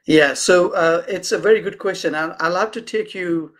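A man's voice: high-pitched, moderate pace, a bit bright. A man from Nepal, a guest consultant, answering interview questions hesitantly with many fillers and restarts.

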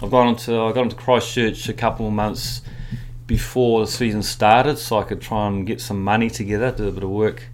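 Middle-aged kiwi guy, low voice, rambly animated yarns, lots of ums, faint hum behind. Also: brisk. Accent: New Zealand. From New Zealand. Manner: rambling, animated storyteller with many fillers. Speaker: guest. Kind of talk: casual storytelling interview.

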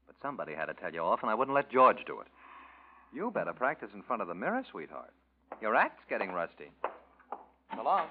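A man's voice: lowish voice, sing-song, brisk, pauses often, a little hiss or hum. An actor playing a cynical, scornful young man in an old radio drama, sarcastic and mocking. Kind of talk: 1940s radio acting. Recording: dull old radio recording.

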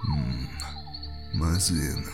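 A male audiobook reader performs an aged, brooding sorcerer of dark arts. His voice sits deep and moves with slow, calculating gravity. Music plays clearly underneath. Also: animated, exaggerated voice. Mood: grave, measured. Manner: slow, deliberate, grave. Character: old, brooding, calculating male necromancer mage. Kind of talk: audiobook character voice.

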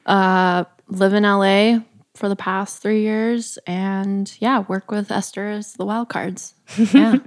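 A woman from Missouri, a music producer guest, speaking in a relaxed, casual way. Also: mid-range voice, slow. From Columbia, Missouri.

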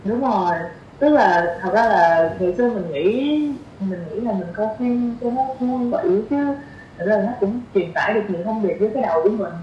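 A woman's voice: mid-range voice, lively intonation, a little fast, highs cut off, a little hiss or hum. A young woman with a southern Vietnamese accent, chatting casually as a podcast guest and thinking aloud with repeated phrases. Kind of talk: casual conversational podcast chat. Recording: roomy echo, faint music behind. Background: faint music.

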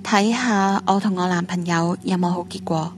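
A woman's voice: on the low side, steady tone, moderate pace, natural voice. An actress playing a secretive, sullen schoolgirl ghost in a radio drama, speaking Hong Kong Cantonese in a curt, questioning way. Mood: guarded, hesitant.

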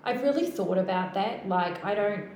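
A woman with a New Zealand accent hosts a relaxed podcast chat, chiming in and finishing her guest's sentences. Her mid-pitched voice carries a roomy echo with a faint hiss underneath. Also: normal speed. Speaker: podcast host. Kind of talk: casual interview chat. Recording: echoey room.

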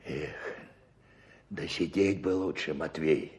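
A man's voice: a bass voice, slow pace, a little mellow. An actor playing a sympathetic, cautious older country man in a radio drama, muttering in folksy phrases. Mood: concerned. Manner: folksy, muttering, sighing interjections. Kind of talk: Russian radio drama acting.

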